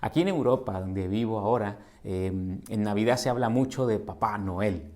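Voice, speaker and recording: A man's voice: fairly low pitch, moderate pace, warm tone. A middle-aged man from Colombia with a Colombian Spanish accent, preaching a sermon in a church in an earnest, questioning way that builds to emphatic repetitions. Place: church sanctuary.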